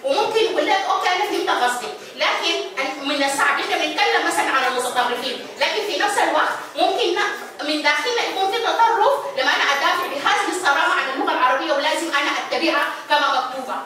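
A woman's voice: high voice, lively intonation, sharp tone. A woman with an Egyptian Arabic accent moderates a panel. She lays out her view at length and keeps pressing her point. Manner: quick, insistent, explains her view at length. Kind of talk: panel discussion. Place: art gallery event room, seated at a table. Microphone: handheld mic, heard from a distant camera. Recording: echoey gallery from far off.

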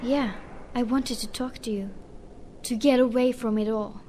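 Woman acting melancholy young woman, higher-pitched. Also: fairly even intonation, measured pace, slight background noise, close to an everyday voice. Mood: resigned. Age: young adult. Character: melancholy, withdrawn young woman, gentle with her friend. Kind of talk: video game cutscene acting. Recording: loud music under the voice. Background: guitar music.

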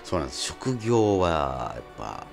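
A man's voice: rumbling low, sing-song, normal speed, creaky. A man hosting a casual radio chat. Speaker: radio host, stage actor. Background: background music.

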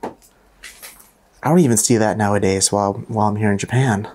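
Low-voiced man exploring an abandoned house. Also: normal speed. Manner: chatty, excitable, jumpy. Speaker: vlogger exploring an abandoned mansion. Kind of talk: casual walkthrough vlog.

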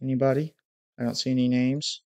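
A man's voice: mid-range voice, level tone, a little fast, a little mellow, no room sound. A young man with a stuffy nose giving casual commentary on his gameplay over a headset mic in a small room at home. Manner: hyped intro, then muttered play-by-play. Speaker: gaming YouTuber.

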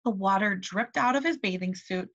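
A young woman, a teacher reading a children's story aloud in an animated way and asking her listeners questions. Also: mid-range voice, normal speed. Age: young adult. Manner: animated, expressive. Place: small bedroom, indoors. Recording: slightly dull webcam audio.

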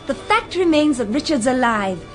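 An impetuous, well-bred young Englishwoman in a radio play. Her voice is high and swoops expressively, with an insistent edge. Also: very animated, moderate pace, faint music behind. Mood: upset, insistent. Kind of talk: radio drama acting.

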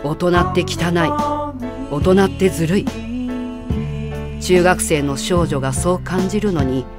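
A woman narrating a personal essay about a film, reading in a calm, reflective, measured way. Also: fairly low pitch. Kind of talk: calm literary narration over film clips. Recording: voice-over with music underneath.

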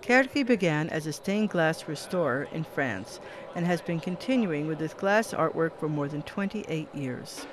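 Higher-pitched scripted narrator, a man. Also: expressive, moderate pace, noisy background. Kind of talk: scripted news feature narration.

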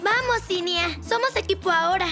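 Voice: very high voice, moderate pace, over music. A performer voicing a sweet, cooperative little zebra child in a children's cartoon, friendly in manner. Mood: encouraging.

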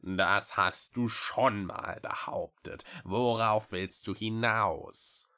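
Grumpy old-school witch, really deep n slow, sighs, grudging, storybook. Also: pushed and bright, full character voice. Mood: weary, dismissive. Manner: slow, drawling, grudging, sighing. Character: grumpy, weary female witch-like creature, reluctant, sardonic. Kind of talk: audiobook character voice.

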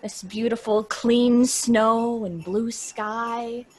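A woman's voice: a little high, fairly even intonation, extremely slow, a little mellow. A woman playing a prim, disapproving young traveler in an old-time radio drama, with a proper manner. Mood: scolding. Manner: scolding, proper, exasperated.

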